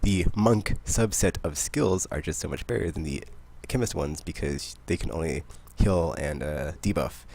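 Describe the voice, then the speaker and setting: A man hosting a podcast, chatting about games in a rambling, excitable way. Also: very deep voice, normal speed, few pauses. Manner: rambling, excitable, laughs often. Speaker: podcast host. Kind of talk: casual gaming chat.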